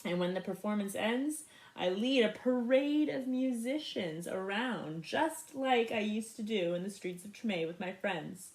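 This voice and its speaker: A woman reading a picture book aloud in an expressive, animated way for young listeners. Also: medium pitch, roomy sound, rough recording. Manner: animated, pauses to ask young listeners questions. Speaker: storybook reader. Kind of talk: expressive children's read-aloud.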